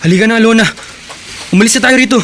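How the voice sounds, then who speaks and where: A man playing a stubborn, lovestruck teenage son who lives rough, in a radio drama. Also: very high voice, rapid-fire, a bit bright, a little hiss or hum. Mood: urgent. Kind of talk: Tagalog radio drama acting.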